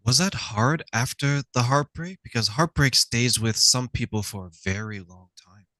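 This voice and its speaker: A middle-aged man hosting a conversational interview, telling stories in an animated way and often checking in with the listener. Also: medium pitch, normal speed, dry recording. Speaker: talk show host.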